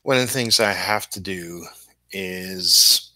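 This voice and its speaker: A man chatting casually on a livestream as an artist, halting and apologetic, often muttering to himself. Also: low-pitched, pushed and bright, dry recording, rough recording. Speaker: comic book inker livestreaming his work.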